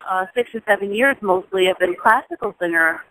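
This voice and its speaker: Bright-voiced woman talking on a dry phone line. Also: mid-range voice, fairly quick, no room sound. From Jamaica. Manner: thoughtful, with frequent restarts and soft laughs. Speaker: interview guest, singer. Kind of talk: casual interview talk.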